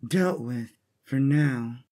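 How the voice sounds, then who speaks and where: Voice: mid-range voice, very slow, dark tone. A man voicing a tough, determined young woman rebel leader in an audio drama, terse and resolute. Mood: calm, fond.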